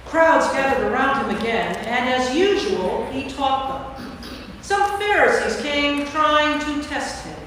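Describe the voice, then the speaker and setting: A woman's voice: sits high, lively intonation, slow, fairly bright tone. A woman reading scripted narration for a staged Bible reading. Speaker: narrator, priest. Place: large church interior. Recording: echoey church hall from far off, faint noise.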